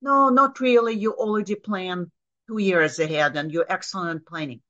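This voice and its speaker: A woman with a low voice and a Russian accent, a guest giving direct, practical career advice in an interview. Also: normal speed, pushed and bright, highs cut off. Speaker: guest, author. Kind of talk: interview conversation.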